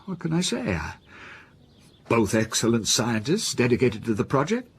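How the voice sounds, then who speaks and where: A man's voice: mid-range voice, very animated, normal speed, a little hiss or hum. A man playing an affronted yet diplomatic middle-aged professor in a radio crime drama.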